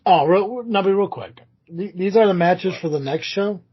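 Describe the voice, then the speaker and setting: Co-host, blunt teasing banter, husky high voice, muffled lo-fi audio. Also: male voice, moderate pace. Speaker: podcast co-host. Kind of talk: casual chat.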